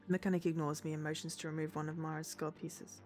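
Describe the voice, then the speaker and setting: Husky woman narrating a visual novel. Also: sits low, little pitch movement, quick pace, darkish tone. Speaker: game streamer. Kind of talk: dramatic read-aloud narration of a visual novel. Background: game music.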